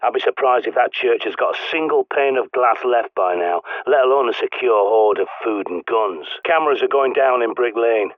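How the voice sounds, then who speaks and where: A man's voice: medium pitch, moderate pace, few pauses, dry recording. A man voicing a blunt, sardonic male survivalist in a video game, with a British accent, guiding someone over the radio. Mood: grumbling. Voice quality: gruff, sardonic, swears often. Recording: dry tinny radio-like line.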